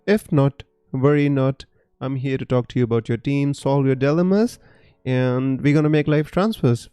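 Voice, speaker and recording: A man's voice: mid-range voice, dark tone, clear, resonant tone, no room sound. A man hosting a live fantasy football stream, answering viewer comments in a friendly, chatty way with lots of fillers.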